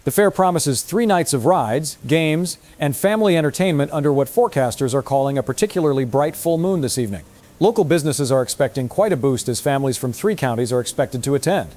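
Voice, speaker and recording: Male actor as composed TV news anchor, slightly high register, formal broadcast read. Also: lively intonation, a little mellow, close to an everyday voice. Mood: composed, upbeat. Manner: measured, formal news delivery.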